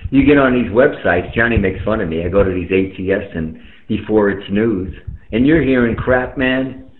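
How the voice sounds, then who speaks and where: Casting: low-voiced man, emphatic and colloquial, opinionated radio chatter, through a narrow tinny link. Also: moderate pace. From United States. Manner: colloquial, emphatic, repetitive, mildly profane. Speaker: amateur radio operator chatting with friends. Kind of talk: casual opinionated radio monologue. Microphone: radio microphone over internet link. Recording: tinny phone-like radio link, roomy echo.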